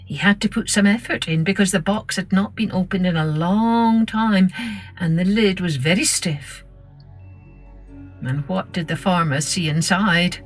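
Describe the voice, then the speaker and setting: Female narrator for kids' story podcast, lowish register, measured expressive read, music underneath. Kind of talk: scripted children's story narration.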